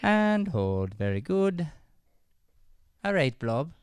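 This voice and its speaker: A man's voice: fairly high pitch, big pitch swings, takes it slowly, clear, resonant tone, no echo, clean studio sound. A man voicing an encouraging Irish teacher, giving instructions and repeating himself in improvised radio comedy. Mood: coaxing, brisk. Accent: Irish, put on. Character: coaxing, encouraging Irish teacher of shy youngsters. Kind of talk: improvised old-time radio comedy play.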